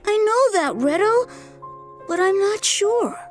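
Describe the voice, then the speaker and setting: A woman voicing a gentle, worried young girl in a video game, with a hesitant, earnest manner. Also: very high voice, expressive, takes it slowly, faint music behind, full character voice. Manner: hesitant, soft-hearted, earnest. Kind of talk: animated video game voice acting.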